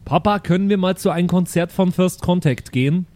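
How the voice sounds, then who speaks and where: A man's voice: high-pitched, brisk, a little mellow, lightly acted. A man playing a naive, easily confused boy who rambles and pleads with his dad in an improvised audio play. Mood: eager.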